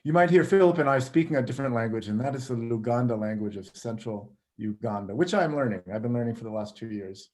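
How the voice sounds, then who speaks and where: A man's voice: medium pitch, quick pace, hardly any pauses, darkish tone. A man hosting a video call, presenting a slideshow talk in a friendly, plain way. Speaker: travel photography company head, presenter.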